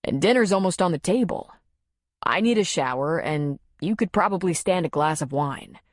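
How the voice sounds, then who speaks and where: A woman reading a storybook, voicing a weary man who speaks in a lowered, measured and soothing way. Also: animated, no room sound, clean studio sound, close to an everyday voice. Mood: gentle. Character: weary man, calm and gentle.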